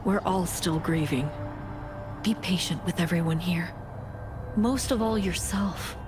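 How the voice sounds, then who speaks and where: Actress as gentle, grieving fantasy game warrior; unhurried and subdued, clear music bed. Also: female voice, lowish voice, lightly acted. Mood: gentle, concerned. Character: weary, gentle, grieving warrior woman, loyal and patient. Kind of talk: dramatic video game voice acting. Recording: music underneath the voice.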